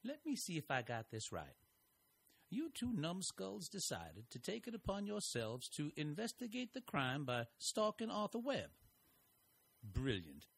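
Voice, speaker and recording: A man's voice: fairly high pitch, huge pitch swings, unhurried, creaky, fairly bright tone, breathy edge, exaggerated voice. A man playing a wry, easygoing small-town husband. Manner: dry, teasing, easygoing. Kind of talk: audio drama acting.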